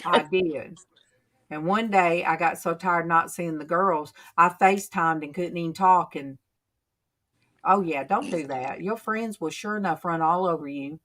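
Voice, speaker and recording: A woman hosts a casual faith chat, telling homey stories at a slow, unhurried pace. Her voice is bright and forward, and her manner is chatty and drawn-out. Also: fairly low pitch. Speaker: channel host.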